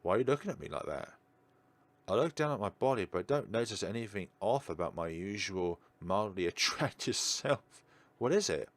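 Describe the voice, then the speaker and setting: A man's voice: fairly low pitch, lively intonation, normal speed, no room sound. A man doing let's play commentary, reading visual novel text aloud expressively and dropping in chatty asides. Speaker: gaming video host. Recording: music behind the voice. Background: game music.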